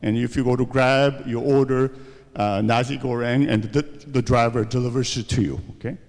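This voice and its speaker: A man's voice: mid-range voice, fairly even intonation, moderate pace, a little mellow, long reverb tail. A man giving a university seminar lecture, asking rhetorical questions and often checking in with "okay?" and "right?". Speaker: seminar speaker.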